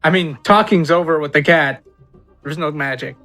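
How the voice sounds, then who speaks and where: Slightly high-voiced man chatting at game table. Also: normal speed, pushed and bright, faint music behind. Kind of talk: casual game table chat.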